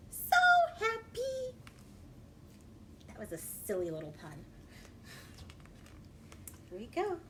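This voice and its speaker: A woman children's librarian reading a picture book aloud in an exaggerated, animated way and talking directly to young listeners. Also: sits high. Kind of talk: children's read-aloud storytime. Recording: noisy livestream recording.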